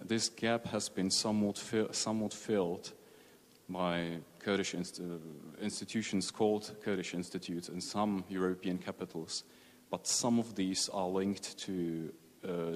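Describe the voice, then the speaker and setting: A man, a journalist asking a careful, halting question from the audience in a conference hall. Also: deep voice. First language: Czech. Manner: careful, halting, many restarts. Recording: long reverb of a conference hall.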